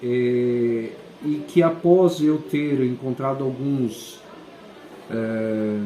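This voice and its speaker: A man, a school principal answering interview questions and often pausing to search for words. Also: medium pitch, dark tone, slight background noise. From southern Brazil. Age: 40s. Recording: echoey room.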